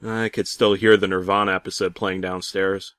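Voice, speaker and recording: A man narrating a comic creepypasta theatrically, swinging between hushed and loud delivery. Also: low-pitched, flat delivery, moderate pace, clear, resonant tone, dry recording, studio quality. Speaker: horror story narrator.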